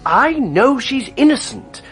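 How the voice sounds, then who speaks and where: Anxious, earnest man with animated delivery. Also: very high-pitched, slow pace, faint music behind, full character voice. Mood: anxious insistence. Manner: earnest, questioning. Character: anxious, earnest, loyal man in murder mystery. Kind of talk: radio drama acting.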